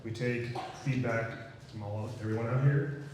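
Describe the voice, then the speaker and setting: A man with a flat, mellow voice speaks plainly to coworkers, with the odd uh. The room is very echoey, with faint noise behind him. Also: mid-range voice, normal speed, distant mic. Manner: plain, slightly hesitant with uhs. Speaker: employee council member. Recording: echoey hall.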